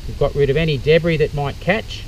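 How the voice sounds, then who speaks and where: A man's voice: on the high side, brisk. A middle-aged man with an Australian accent, giving a practical outdoor demonstration as a bushcraft instructor, explaining step by step with some fillers. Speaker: bushcraft instructor and tour guide. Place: eucalyptus forest outdoors. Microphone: camera mic from a few metres away. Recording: distant outdoor camera audio, faint background noise.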